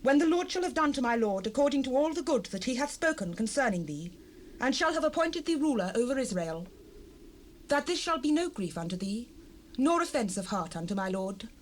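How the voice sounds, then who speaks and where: High-voiced, pleading humble wife. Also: female voice, rough recording. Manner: earnest, supplicating, archaic formal diction. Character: humble, pleading wife bowing before a warlord. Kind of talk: dramatized King James Bible audio drama. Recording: unprocessed cassette rip with noise.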